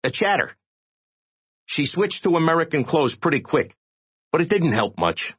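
Squeaky, frantic little reporter. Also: male voice, big pitch swings, moderate pace, husky, dull audio. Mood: gossipy. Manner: streetwise slangy American talk. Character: tiny, eight-inch man, a frantic, streetwise crusading reporter.

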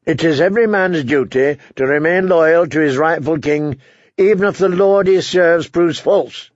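A man voicing a stern, bitter, unbending king in an audiobook, gravelly and husky, with a commanding, humorless manner. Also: mid-range voice, a little slow, a little mellow, no room sound, highs cut off.

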